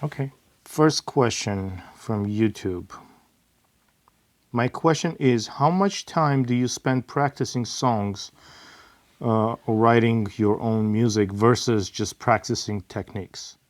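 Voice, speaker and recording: A middle-aged man hosting a casual Q&A, reading viewer questions aloud in a plain, easygoing way with frequent pauses. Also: medium pitch, no room sound. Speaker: host, father of the bassist.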